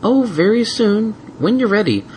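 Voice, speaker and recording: A man's voice: sits high, animated, moderate pace, darkish tone, a little hiss or hum, pitched above the performer's own voice, rough recording. A man voicing a prim, coaxing female unicorn guardian in a fan fiction story, sweet but firmly insistent. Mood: playfully sly. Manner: coaxing, silken, primly insistent. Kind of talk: fan fiction audiobook character voice.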